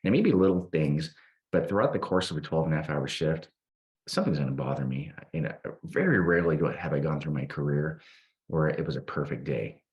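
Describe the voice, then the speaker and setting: Very deep-voiced man hosting a podcast. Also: brisk, lo-fi sound. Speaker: podcast host, police officer. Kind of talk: reflective conversational interview.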